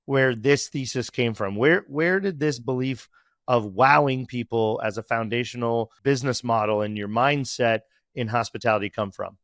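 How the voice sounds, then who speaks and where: A man's voice: mid-range voice, normal speed, a bit bright, no room sound, studio quality. A man hosting a podcast interview, asking questions in a conversational way with some fillers. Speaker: podcast host.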